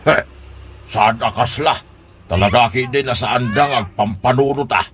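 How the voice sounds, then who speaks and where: A man's voice: mid-range voice, moderate pace, fairly bright tone, natural voice. A man playing a nagging, concerned older uncle in a comedy radio drama. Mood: exasperated. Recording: music under the voice. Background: background music.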